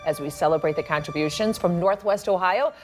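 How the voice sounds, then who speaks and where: A woman's voice: fairly low pitch, fairly quick, faint music behind. An American woman presenting scripted television news as an anchor.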